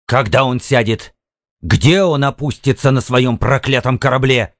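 A man's voice: mid-range voice, unhurried, dry recording, studio quality, pitched above the performer's own voice, lightly acted. A man playing an irritable, demanding, jealous husband in a dramatised audiobook. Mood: angry, interrogating. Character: jealous, stern, domineering Martian husband.

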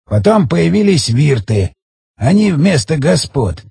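A man voicing an ancient, frightened underground elder in an audiobook, speaking in slow, hushed, halting phrases. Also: a little high, sing-song, vocal fry, husky, dry recording, exaggerated voice. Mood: weary, recounting.

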